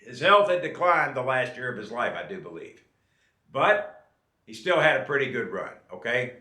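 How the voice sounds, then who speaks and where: A man's voice: medium pitch, sing-song, moderate pace, pushed and bright. Folksy fifties man, echoey room. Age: 50s. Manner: folksy, repeats "okay?" to the viewer. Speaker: drummer and channel host. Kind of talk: casual advice vlog.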